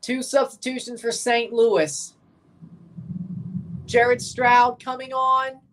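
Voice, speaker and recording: A woman's voice: fairly high pitch, little pitch movement, takes it slowly, rough recording. Woman hosting a casual soccer watchalong. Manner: repeats and corrects herself. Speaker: livestream watchalong host. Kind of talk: casual live football commentary and chat with viewers.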